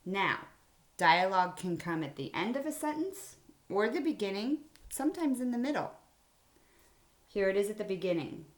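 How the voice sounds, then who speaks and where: A woman's voice: mid-range voice, very animated, moderate pace. A woman teacher giving a children's writing lesson in simple, explanatory phrases. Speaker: elementary school teacher. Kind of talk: read-aloud writing mini-lesson for children.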